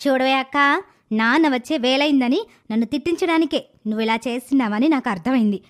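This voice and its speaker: A woman voicing a spiteful, scheming young village girl in an animated moral story, with a whiny, dramatic delivery. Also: high voice, brisk, lightly acted. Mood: angry, accusing.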